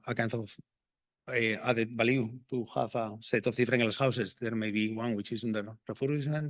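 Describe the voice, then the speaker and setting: A man's voice: mid-range voice, dry recording, clean studio sound. A middle-aged man from Spain with a Spanish accent, giving an enthusiastic, rambling conference talk as a botanic garden horticulturist. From Spain, Asturias. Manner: enthusiastic, rambling, self-correcting.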